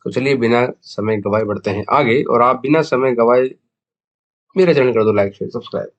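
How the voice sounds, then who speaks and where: An Indian man talks as the host of an explainer video. His pitch sits in the middle range, and his throat is sore. He explains in a conversational way, switching between two languages. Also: normal speed, muffled recording. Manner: conversational Hindi-English code-mixing, explanatory. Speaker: video host, cricket betting tipster. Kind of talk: casual explainer monologue.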